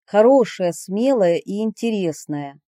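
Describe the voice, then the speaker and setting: A woman reading personal letters aloud in an expressive way. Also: medium pitch, a little slow, no echo, clean studio sound.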